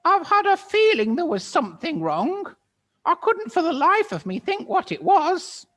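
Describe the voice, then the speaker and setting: A man voicing a gullible, frightened old woman in a very high piping falsetto. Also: wildly swooping pitch, a little slow, fairly bright tone, full character voice. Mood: alarmed.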